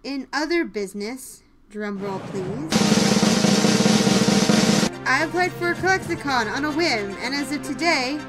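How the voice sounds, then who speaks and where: A woman hosting a podcast in casual, chatty style, with an excitable, effusive manner. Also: high-pitched, unhurried, over loud music. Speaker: podcast host. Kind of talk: casual podcast chat with announcements.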